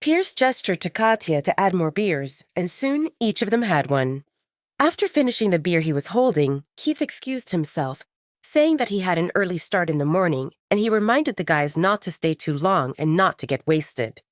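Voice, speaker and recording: A woman's voice: fairly low pitch, sing-song, moderate pace, no echo, studio quality. A woman reading scripted romantic fiction as an audiobook narrator, telling the story expressively in the third person.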